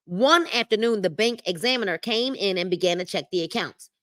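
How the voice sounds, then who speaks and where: A woman's voice: medium pitch, a little fast, fairly bright tone. A woman hosting a live-streamed book club, reading a self-help book aloud and breaking in with emphatic commentary. She repeats key phrases and speaks directly to her viewers in the chat. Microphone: livestream mic.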